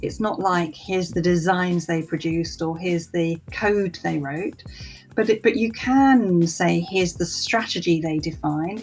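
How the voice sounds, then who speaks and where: A woman speaking as an interview guest in a thoughtful discussion, explaining things in a measured, structured way. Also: fairly low pitch, a little mellow. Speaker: interview guest, senior product director.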